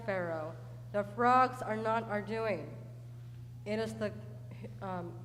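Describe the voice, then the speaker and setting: A woman's voice: a little high, slight background noise. Woman playing an earnest prophet's helper. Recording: echoey hall from far off.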